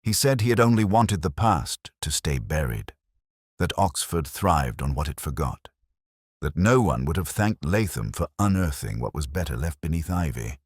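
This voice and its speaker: A man narrating a mystery drama as a brooding, reflective detective inspector, in a measured, solemn and literary delivery. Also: a bass voice, animated, slightly rough, no echo, clean studio sound, exaggerated voice. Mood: grave, weary. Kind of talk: scripted first-person mystery narration.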